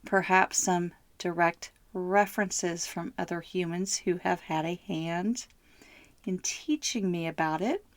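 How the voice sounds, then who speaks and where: A middle-aged woman hosting her own podcast, hoarse from bronchitis, speaking slowly, heartfelt and candid. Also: lowish voice, no echo. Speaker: podcast host. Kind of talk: solo podcast monologue.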